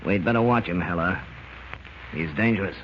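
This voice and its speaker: A man's voice: sits low, normal speed, lightly acted. Man playing a blunt, shrewd radio crook, dull recording. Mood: urgent, sceptical. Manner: blunt, clipped, sceptical. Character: hard, shrewd American criminal, wary hired schemer. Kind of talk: 1940s radio acting. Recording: scratchy, muffled old-time radio recording.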